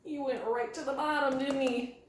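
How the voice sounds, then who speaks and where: A woman's voice: high voice, fairly even intonation, moderate pace, slight background noise. A woman leading an interactive children's storytime, speaking in a sing-song, encouraging way and putting questions to young listeners. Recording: very echoey, roomy sound.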